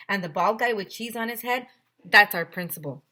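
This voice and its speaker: Woman reading as a bright-voiced, puzzled schoolboy. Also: mid-range voice, normal speed. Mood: explaining. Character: wisecracking, earnest young schoolboy narrator's spoken lines.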